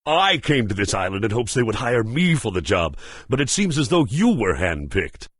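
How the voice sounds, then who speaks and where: An actor playing a proud, hearty axe-wielding mercenary in a fantasy game, gruff and husky, with formal, self-assured speech. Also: male voice, on the low side, big pitch swings, moderate pace, fairly bright tone, dry recording, full character voice. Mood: proud, cordial. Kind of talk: fantasy game character acting.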